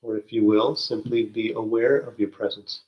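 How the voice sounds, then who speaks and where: A man leading a guided spiritual meditation, speaking gently with soft halting restarts and long pauses. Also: on the low side, level tone, lo-fi sound.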